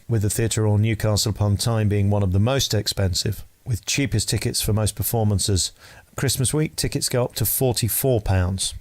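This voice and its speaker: A man with a fairly low voice presents a scripted review. He speaks like an announcer, leaning on key words with emphasis. Deliberate pauses break up his phrases. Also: a little mellow. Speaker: entertainment reviewer and host.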